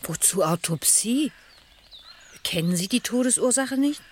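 A woman's voice: on the high side, expressive, a little slow, slight background noise. An actress playing a grieving, troubled lady spa guest and narrator in a radio crime drama, composed and reflective. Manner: composed, reflective, literary phrasing. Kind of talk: 1970s German radio drama acting.

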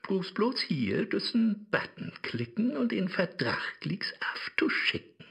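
A man plays a tempting digital devil for a satirical radio play. His Low German verse swings wildly up and down, taunting and mocking, in a forceful, unhurried voice. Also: very animated, gravelly, slightly rough, full character voice. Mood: gleeful, scheming. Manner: theatrical, taunting, rhyming speech.

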